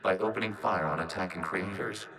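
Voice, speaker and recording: A man voicing a calm, logical robot in a video game, speaking formally and precisely through a synthetic filter. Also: low voice, over a noisy crowd. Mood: matter-of-fact. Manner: measured, formal, precise, clinical. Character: calm, logical male robot of a networked AI race. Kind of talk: video game character acting.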